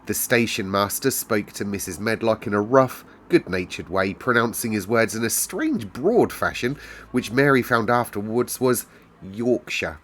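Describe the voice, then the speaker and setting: A man's voice: on the low side, lively intonation, moderate pace, a bit bright. A British man narrating a storybook aloud as a storyteller. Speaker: storyteller, host.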